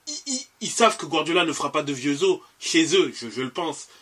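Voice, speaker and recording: Man, bright tone, husky, stop-start delivery, podcast host. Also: on the high side, sing-song, a little fast. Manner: hesitant, many fillers and restarts. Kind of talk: casual football chat.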